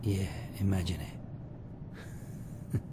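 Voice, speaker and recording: A man's voice: sits low, little pitch movement, slow, background noise. A man playing a ghoulish horror narrator who theatrically savours every word of a gory scripted tale. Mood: sinister relish. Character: ghoulish male horror narrator relishing the gore.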